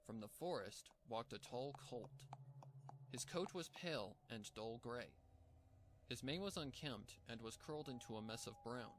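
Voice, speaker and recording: A man's voice: medium pitch, expressive, unhurried, fairly bright tone. A man reading a scripted story aloud as a fan fiction narrator. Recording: clear background noise.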